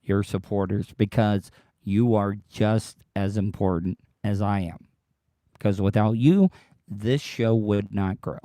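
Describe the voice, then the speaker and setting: A man hosting his own podcast livestream, rambling and repeating himself as he talks straight to his listeners, his voice worn deeper than usual by tiredness. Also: steady tone, unhurried, dark tone, dry recording, clean studio sound. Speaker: podcast host. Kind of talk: casual solo livestream monologue.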